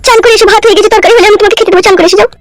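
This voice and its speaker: A man's voice: very high voice, steady tone, rapid-fire. A man voicing a scheming young wife in a comedy cartoon. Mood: sweet. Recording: music under the voice.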